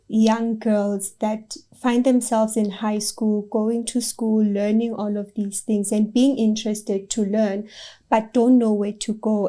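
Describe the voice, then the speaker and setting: A woman's voice: mid-range voice, warm tone. A young woman, a project engineer, answering interview questions in an earnest and encouraging way. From South Africa. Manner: earnest, encouraging, gives direct advice.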